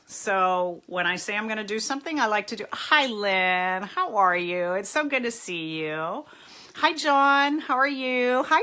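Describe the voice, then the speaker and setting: A middle-aged woman therapist chatting casually on a live stream, her voice a little raspy from overuse, greeting viewers by name. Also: mid-range voice, bright, forceful tone. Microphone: phone or webcam, live stream.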